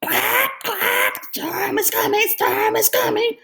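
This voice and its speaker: A woman voicing a fussy, bossy duck in a children's storybook, with a squeaky, quacking voice. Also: steady tone, slow, vocal fry, pushed and bright, exaggerated voice. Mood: excited.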